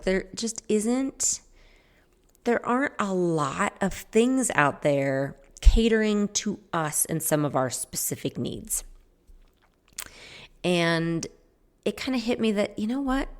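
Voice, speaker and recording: A woman in her forties hosting a podcast, talking casually on her own and rambling, correcting herself mid-sentence. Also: deep voice. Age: 40s. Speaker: podcast host. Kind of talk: casual solo podcast talk.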